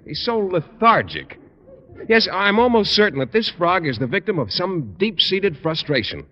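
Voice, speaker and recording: A literal-minded American biology teacher, shy and earnest, in a lightish voice with lively pitch. The old radio comedy recording is muffled. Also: male voice, normal speed. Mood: earnest concern. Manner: earnest, hesitant.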